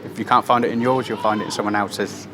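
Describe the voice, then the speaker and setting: A man who shares tools at work, answering interview questions in a plain-spoken, halting way. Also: fairly low pitch, steady tone, crowd noise.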